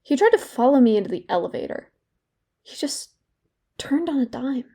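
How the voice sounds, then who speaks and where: An actress playing a young woman in therapy in an audio drama, bitter and regretful, confiding in a halting, sardonic, conversational way. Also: high-pitched, lively intonation, dark tone, husky, heavily acted. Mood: wry, indignant. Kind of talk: naturalistic audio drama monologue.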